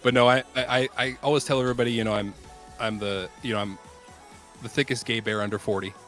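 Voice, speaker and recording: Bright-voiced man in his thirties. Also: mid-range voice, steady tone, soft background music. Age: 30s. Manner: halting, repeats words, jokey. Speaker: guest streamer. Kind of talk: casual chat.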